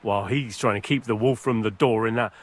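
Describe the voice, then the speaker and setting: An actor playing a chatty, aggrieved middle-aged medieval village bailiff in a video game. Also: male voice, fairly low pitch, brisk, lightly acted. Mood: indignant. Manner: garrulous, grumbling.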